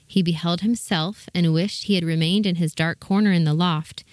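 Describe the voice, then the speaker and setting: A woman reading a scripted children's story as narrator, in a measured, expressive manner. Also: fairly low pitch, no room sound, studio quality.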